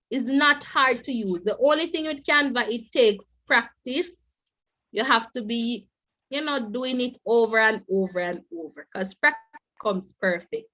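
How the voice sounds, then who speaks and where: Slow, bright-voiced woman with a stuffy nose. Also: sits high, muffled recording. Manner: repetitive, coaxing, keeps addressing "teachers". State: stuffy and nasal from the flu. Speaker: teacher trainer. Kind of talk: online teacher training seminar.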